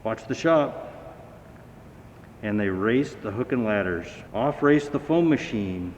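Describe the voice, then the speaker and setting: A man reading a children's story aloud with dramatic, expressive storybook narration. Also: moderate pace. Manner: dramatic, acting out sounds and dialogue. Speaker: fire battalion chief reading a children's story. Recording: echoey hall, dull sound, faint rumbling noise.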